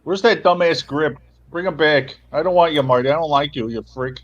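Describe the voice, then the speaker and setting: A man's voice: fairly high pitch, brisk, lo-fi sound, natural voice. A man playing a cranky, blustering pizza man, trading comic insults and repeating himself in mock indignation. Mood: scornful ranting. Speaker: livestream co-host. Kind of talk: comic insult banter on a livestream.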